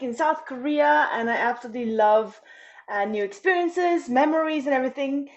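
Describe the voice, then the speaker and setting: Upbeat south african woman on a video call, slightly high voice, dull lo-fi sound. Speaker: event speaker. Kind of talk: introducing herself on a video call.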